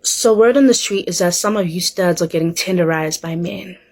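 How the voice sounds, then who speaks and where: A woman's voice: lowish voice, normal speed. A woman giving playful, conversational vlog commentary with some wordplay.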